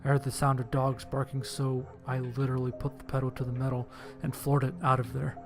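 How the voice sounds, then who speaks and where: A man's voice: medium pitch, completely flat delivery, brisk. Male horror narrator, mid-range voice, dramatic first-person storytelling, music underneath.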